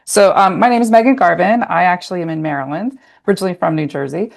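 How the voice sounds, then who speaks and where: A woman, a research director, introducing herself on a video call in a brisk, businesslike way. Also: on the low side, vocal fry.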